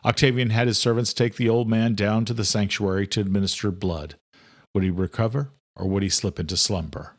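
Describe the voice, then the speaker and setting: A man with a low voice narrates an audiobook. The delivery is scripted and dramatic, in the manner of a thriller story. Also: steady tone, brisk.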